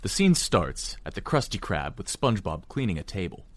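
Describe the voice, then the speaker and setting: Low-voiced man reading stage directions. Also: big pitch swings, normal speed, dry recording. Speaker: narrator of a table read. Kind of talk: amateur script read-aloud.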